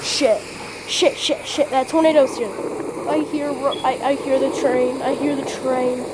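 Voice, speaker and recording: A woman's voice: high-pitched, steady tone, a little slow. A woman playing a panicking tornado survivor huddled in shelter, frantically repeating exclamations in an amateur drama. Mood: panicked. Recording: clearly noisy home recording.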